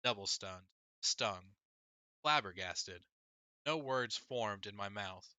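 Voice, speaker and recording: A man's voice: fairly low pitch, expressive, takes it slowly, sharp tone, no echo. A man reading a first-person story aloud as scripted audiobook narration. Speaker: audiobook reader.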